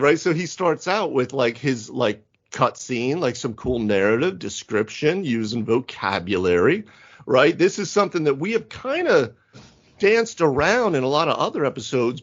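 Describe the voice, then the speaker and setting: A man co-hosting a gaming podcast, talking casually and thinking aloud in an animated, conversational way. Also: a little high, moderate pace, highs cut off. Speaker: podcast co-host. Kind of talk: casual round-table chat about tabletop gaming.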